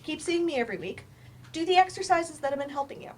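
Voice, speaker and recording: A supportive therapist played by a woman with a high voice and lively, swooping intonation. It is live stage dialogue with clear room noise behind it. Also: fast. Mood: advising, caring. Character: measured, supportive female doctor, a therapist. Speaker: actor in a staged play reading. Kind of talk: stage play reading.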